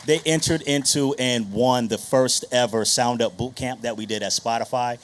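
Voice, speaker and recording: A man's voice: medium pitch, moderate pace. Casual man moderating a panel. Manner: informal, conversational, addresses the audience directly. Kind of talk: casual stage introduction of a panel. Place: on stage at a live panel session.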